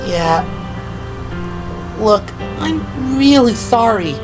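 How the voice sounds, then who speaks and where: A man's voice: sits high, animated, extremely slow, pitched above the performer's own voice, heavily acted, low-quality recording. A man voicing a nervous, babbling eighteen-year-old female rookie medic in an audio drama, self-deprecating in manner. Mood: nervous, apologetic. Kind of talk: audio drama character acting. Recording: music behind the voice.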